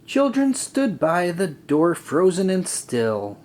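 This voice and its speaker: A man reading a rhyming children's story aloud in an expressive, deliberate, sing-song way. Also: sits high. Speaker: storybook reader. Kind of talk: children's read-aloud narration.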